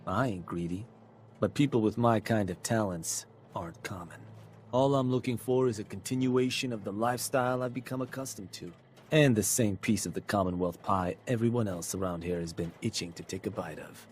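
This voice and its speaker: An actor playing a cocky, scheming raider adviser in a video game, talking in a persuasive, sardonic way. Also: male voice, lowish voice, fairly quick, darkish tone, slight background noise, close to an everyday voice. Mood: matter-of-fact. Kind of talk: video game character dialogue.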